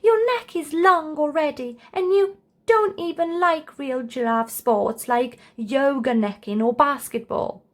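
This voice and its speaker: A woman's voice: sits high, unhurried, pitched above the performer's own voice. A woman voicing an eager, curious young boy giraffe in a children's storybook, with hopeful exclamations. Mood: earnest.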